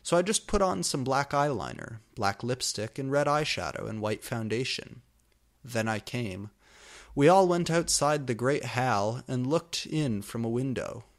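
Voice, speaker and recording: A man reads fan fiction aloud as comic narration, in a mid-pitched voice. He delivers the prose mock-seriously, leaning on words with exaggerated emphasis. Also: animated, measured pace.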